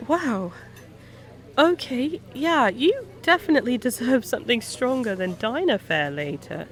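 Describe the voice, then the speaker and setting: A woman acting in an intimate audio roleplay as a kind, gently teasing private eye, talking softly-concerned and conversational to a stranger. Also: fairly high pitch, lively intonation, a little slow. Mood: curious, surprised. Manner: soft-hearted, hesitant, questioning, playful asides.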